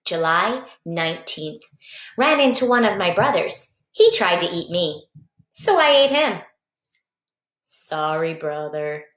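A woman's voice: fairly low pitch, sing-song, takes it slowly, fairly bright tone, full character voice. A woman voicing a small, cocky young male praying mantis reading out his diary in playful, boastful short sentences, storybook style. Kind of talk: expressive children's storybook reading. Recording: echoey basement room.

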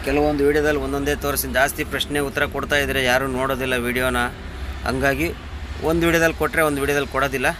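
A man's voice: medium pitch, little pitch movement, racing. A man, a truck driver vlogger chatting casually to his viewers and explaining a vehicle, outdoors with faint traffic noise behind. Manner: chatty, addresses viewers as brother and friends.

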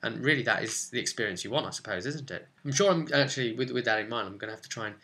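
A young man presents an informal guide to camera from a room at home. His voice is bright and forward, sitting at an average pitch. He rambles conversationally and often turns statements into little questions. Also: rapid-fire. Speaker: tabletop gaming channel host. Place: small furnished room at home, indoors.

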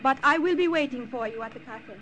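A woman's voice: high-pitched, quick pace, natural voice. A woman acting a devoted young French Resistance member in an old radio drama, earnest and formal, with a French accent. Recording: noisy old radio recording.

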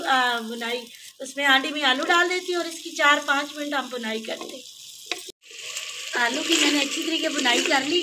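A Pakistani woman with a high voice talks through a home recipe in a chatty, homely way. She is recorded by phone outdoors at a clay stove at night, and her voice sits a little far from the mic. Loud frying and sizzling run underneath. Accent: Pakistani Urdu. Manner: chatty, homely, pleads with viewers to subscribe. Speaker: cooking vlogger. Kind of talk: casual cooking narration. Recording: distant voice with sizzling noise.

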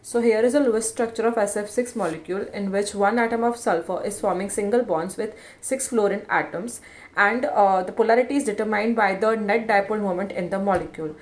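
A woman's voice: medium pitch, fairly quick. A woman presenting a scripted explainer in a plain, instructional, step-by-step manner. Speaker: educational video presenter.

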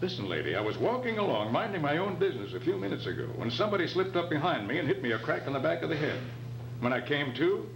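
A man's voice: a little high, very animated, fast, vocal fry. An older man playing a tough, sarcastic private detective in old-style radio drama, reading from a script with a brusque manner. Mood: sardonic, suspicious. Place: hotel function room stage, indoors. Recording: noisy, echoey amateur videotape of stage performance.

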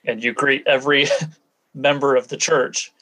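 A man's voice: mid-range voice, little pitch movement, unhurried, pushed and bright, rough recording. A man being interviewed as a guest, recounting and explaining things in a matter-of-fact, conversational way. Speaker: interview guest, former church member.

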